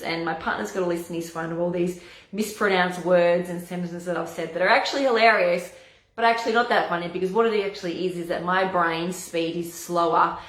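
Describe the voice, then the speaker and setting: A woman naturopath with a hoarse, husky voice, chatting casually to camera and often stumbling over and restarting her words. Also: lowish voice. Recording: echoey room.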